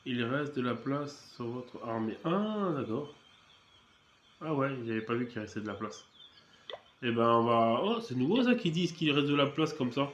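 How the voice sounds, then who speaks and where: A man with a cold giving relaxed, rambling commentary while playing a mobile strategy game, with many fillers and restarts. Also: mid-range voice, roomy sound, muffled recording, a little hiss or hum. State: has a cold. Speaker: gaming channel host. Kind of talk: casual gameplay commentary.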